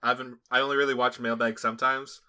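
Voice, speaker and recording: A man's voice: medium pitch, little pitch movement, quick pace, bright, forceful tone. A young man guest-hosting a casual podcast chat, mostly giving short, supportive replies. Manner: supportive, brief backchannel replies.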